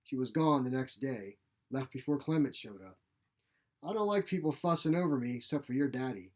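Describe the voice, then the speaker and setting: A feisty old woman, acted out by a male narrator, speaks in a blunt, folksy, grumbling way. She is a sharp-tongued storybook mother who minces nothing. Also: medium pitch, expressive, a little fast, close to an everyday voice. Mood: indignant. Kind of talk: short story character reading.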